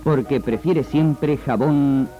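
An actor playing a grandiose advertising and show announcer in a 1960s radio drama. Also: male voice, medium pitch, takes it slowly, dull audio, natural voice. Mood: showy. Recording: 1960s radio archive, music behind.

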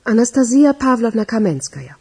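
Very husky, reserved woman. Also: a little high, big pitch swings, fairly quick, darkish tone, exaggerated voice. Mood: polite, reserved. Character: reserved, polite, work-absorbed female sanatorium guest. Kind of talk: German radio crime drama acting.

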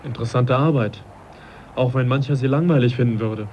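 An actor playing a modest, sceptical young English naturalist in a German radio drama, speaking in a reflective, politely questioning way. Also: male voice, medium pitch, fairly quick, close to an everyday voice. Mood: thoughtful. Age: young adult. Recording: dull, faintly noisy radio drama recording.

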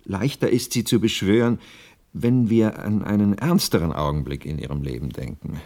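An anxious widower in a radio play. His voice is deep and husky. He speaks with solemn earnestness, pleading and insisting as someone devoted to reaching his dead wife. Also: moderate pace, darkish tone. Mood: insistent. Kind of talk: German radio play acting.

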